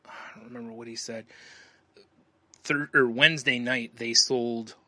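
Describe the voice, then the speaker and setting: A man's voice: mid-range voice, fairly even intonation, normal speed, pushed and bright. A man co-hosting a podcast in casual, joking chat with some swearing and frequent chuckles. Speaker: podcast co-host.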